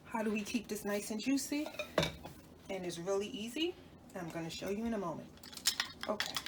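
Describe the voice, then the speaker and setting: A woman's voice: medium pitch, heavy background noise. A woman hosting a cooking channel, chatting casually to viewers as she demonstrates a recipe in her home kitchen, sounding a little stuffy from a cold and often correcting herself. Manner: chatty, talks to viewers while working, self-correcting.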